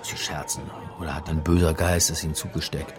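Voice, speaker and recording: An actor playing a wry, bookish lecturer, dry and pedantic, in a radio crime drama. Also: male voice, a bass voice, level tone, a little fast, soft background music. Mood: intrigued, dry. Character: wry, bookish male lecturer in religious studies, telling his story. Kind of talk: radio crime drama, first-person narration and dialogue.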